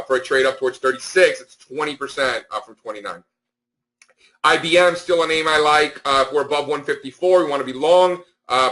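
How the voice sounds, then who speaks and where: A man's voice: on the high side, sharp tone, rough recording. A man speaking informally as a market analyst on a conference call, with an emphatic, opinionated, slangy way of talking. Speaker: stock market analyst hosting a members call.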